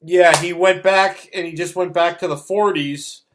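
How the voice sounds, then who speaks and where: Middle-aged man, fairly high voice. Blunt, opinionated co-host in loose conversational film review. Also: fairly even intonation, normal speed, noisy background. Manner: blunt, opinionated, repeats himself for emphasis. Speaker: podcast co-host reviewing films.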